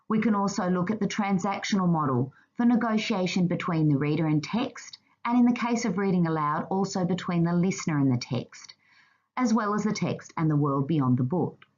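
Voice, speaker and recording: A woman narrating a scripted slide presentation in a formal, measured reading manner. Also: lowish voice, darkish tone, dull audio.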